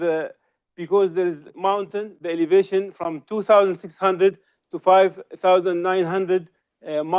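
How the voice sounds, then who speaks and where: A middle-aged man from Syria, giving an academic seminar lecture in a halting way with many hesitations and restarts. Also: high-pitched, darkish tone, smooth. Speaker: research associate giving a seminar. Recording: slightly dull lo-fi recording.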